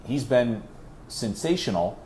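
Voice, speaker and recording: A man's voice: mid-range voice, animated, takes it slowly, darkish tone, slight background noise, poor audio. A man who works as a play-by-play announcer, talking conversationally and at length as an interview guest, pressing his points with emphatic repetition.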